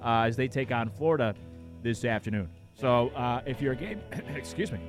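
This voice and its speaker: A man's voice: medium pitch, lively intonation, moderate pace, over music. A man hosting a sports talk radio show in a studio, talking casually with lots of fillers while he is losing his voice. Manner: casual, rambling, many fillers. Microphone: studio microphone.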